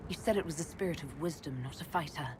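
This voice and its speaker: An actress playing a steady, practical elf leader. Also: female voice, low voice, quick pace, background noise, lightly acted. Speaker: player character.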